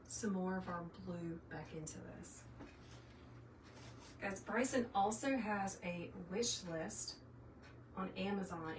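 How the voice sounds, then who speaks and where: A middle-aged woman with a Southern US accent, hosting a live craft tutorial and chatting casually and folksily with her viewers. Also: mid-range voice. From South Carolina, US. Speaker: craft tutorial livestream host. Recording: roomy, distant mic, faint noise.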